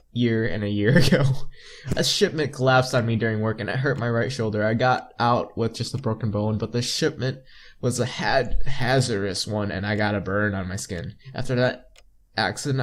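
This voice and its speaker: A young man hosting a game playthrough, reading story text aloud and commenting on it informally. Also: lowish voice. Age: young adult. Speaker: playthrough host.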